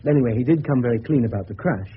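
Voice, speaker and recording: An actor playing a businesslike, sceptical police subordinate in a 1970s radio drama. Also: male voice, medium pitch, lively intonation, very fast, natural voice. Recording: tinny, hissy old radio broadcast.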